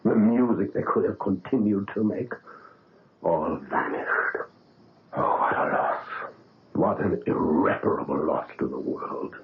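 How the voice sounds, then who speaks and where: An actor playing an older male surgeon and father, grave and halting, in a 1970s radio drama. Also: low-pitched, lively intonation. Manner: halting, hesitant, many uh's. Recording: tinny old radio broadcast with faint hiss.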